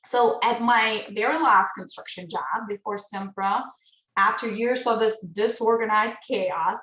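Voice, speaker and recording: A woman from Lithuania speaks English with a noticeable Lithuanian accent, presenting a business webinar. Her delivery is earnest and a little halting, and she often restarts phrases. The room around her rings with echo. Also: medium pitch, steady tone, a bit bright, dull audio. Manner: earnest, halting, with self-corrections.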